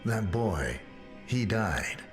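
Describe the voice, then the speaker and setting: An actor playing a stoic, brooding assassin in a video game, terse and deadpan. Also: male voice, lowish voice, very slow, over music, exaggerated voice. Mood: cold, subdued. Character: stoic, brooding male assassin, cold and resolute. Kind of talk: dramatic video game cutscene acting.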